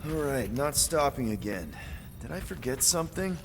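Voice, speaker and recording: An actor playing a wry, easygoing space-crew leader in a video game, speaking casually. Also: male voice, medium pitch, very animated, normal speed, a little hiss or hum, rough recording. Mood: resolved. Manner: casual, hesitant, teasing.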